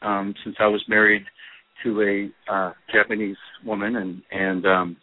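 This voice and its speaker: A man who is a poet, giving thoughtful, halting answers in an interview and often restarting his sentences. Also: on the low side, little pitch movement, slight background noise. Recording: dry, narrow phone-line audio.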